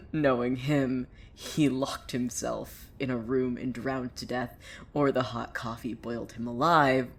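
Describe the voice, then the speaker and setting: A woman's voice: a bass voice, fairly even intonation, slow, a little hiss or hum, exaggerated voice. A woman playing an unhinged, bitter cult preacher with visions in a fiction podcast, theatrical and swinging between brooding and mania. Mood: mocking, gleeful. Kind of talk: dramatic monologue in an audio fiction podcast.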